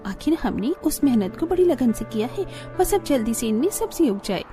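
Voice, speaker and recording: Woman acting a high-pitched, dutiful young daughter-in-law. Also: very animated, moderate pace, music in the background. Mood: hopeful. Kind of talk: Hindi moral-story cartoon dialogue.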